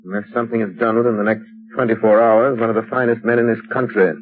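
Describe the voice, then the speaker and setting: An actor playing a calm, determined crime-fighter in a 1930s radio drama, speaking in an earnest, measured way. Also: male voice, lowish voice, close to an everyday voice. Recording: narrow, lo-fi 1930s radio broadcast with faint hiss.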